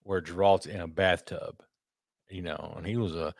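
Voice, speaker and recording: Podcast co-host, male, low baritone, loose and profane, recorded dry. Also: steady tone, normal speed, creaky, no room sound. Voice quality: deep baritone voice. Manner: loose, joking, profane banter. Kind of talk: casual chat over beers.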